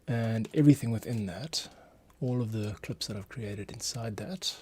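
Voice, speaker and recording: A man giving a casual screencast tutorial, thinking aloud and muttering to himself with pauses as he works. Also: on the low side, dark tone, husky. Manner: muttering to himself, pausing while working. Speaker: composer, streamer host. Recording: faint noise, keyboard and mouse clicks. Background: typing, mouse clicks.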